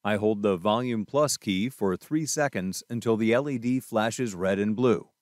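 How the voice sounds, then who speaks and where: A man's voice: fairly low pitch, normal speed, dry recording, clean studio sound. A man presenting a product tutorial, narrating scripted instructions step by step in a methodical way.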